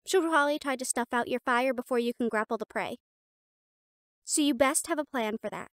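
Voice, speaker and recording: A snobby catgirl cheerleader, voiced by a woman, sits high in her voice and talks down to everyone with a teasing edge. It sounds like a dry, close recording with no room around it. She stays bossy and catty throughout. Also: brisk, a bit bright, dry recording. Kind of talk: audio roleplay acting.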